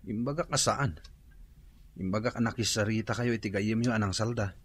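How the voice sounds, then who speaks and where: A dutiful adult son, respectful and anxious about the family. His voice sits on the low side. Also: brisk, slightly rough. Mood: worried. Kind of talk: radio drama acting.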